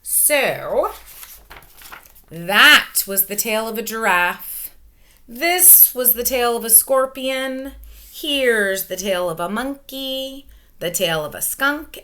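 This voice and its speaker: Bright, animated woman reading to children. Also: medium pitch, slow pace. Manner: expressive, emphatic, question-asking, child-directed delivery. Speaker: elementary school teacher. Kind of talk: children's picture book read-aloud with commentary. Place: small furnished room at home, indoors. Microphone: webcam or laptop microphone at arm's length.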